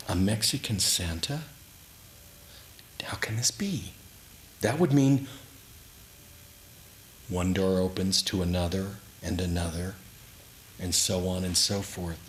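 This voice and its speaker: Low-voiced man giving a dramatic reading. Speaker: author reading his own script. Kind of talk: dramatic reading of a memoir story. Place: indoors in a historic house, live reading. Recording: clearly noisy live room recording.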